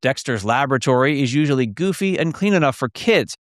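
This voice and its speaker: A man delivers scripted documentary-style narration as the narrator of a list video. His voice sits at an ordinary pitch, recorded dry with no room sound. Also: expressive, fairly quick, dry recording.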